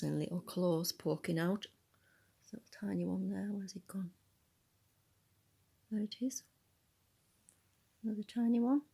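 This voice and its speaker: Husky, creaky-voiced woman reading a storybook. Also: lowish voice, warm tone. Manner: musing, tentative, conversational. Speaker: storybook reader. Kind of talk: reading a picture book aloud with casual, chatty asides about the illustrations.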